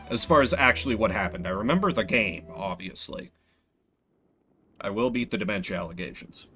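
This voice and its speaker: Animated, bright-voiced male game streamer. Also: on the low side, moderate pace, pauses often, soft background music. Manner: playful, sweary. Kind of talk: casual game commentary with joking asides.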